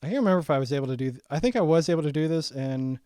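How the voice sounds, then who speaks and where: A young man walking through his own saxophone arrangement in a casual, halting way, full of ums and restarts, his voice getting raspy. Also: fairly high pitch, lively intonation, mellow, no room sound, clean studio sound. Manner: halting, many ums and restarts, thinking aloud. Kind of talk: casual screen-share breakdown.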